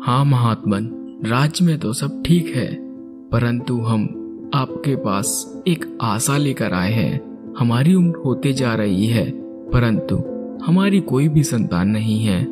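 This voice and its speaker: A man's voice: medium pitch, lively intonation, takes it slowly. An ageing king from a cartoon fable, acted by a man, formal and courteous with a worried dignity. His mid-range voice sits over prominent instrumental music. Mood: worried, pleading. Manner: formal, stately storybook delivery. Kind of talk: cartoon moral-story voice acting. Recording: music under the voice.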